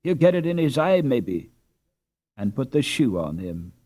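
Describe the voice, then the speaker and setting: A man's voice: mid-range voice, sing-song, unhurried, warm tone. A man voicing a careworn, loving peasant mother in a storybook, with a plaintive, folksy, exclamatory way of talking. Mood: anxious.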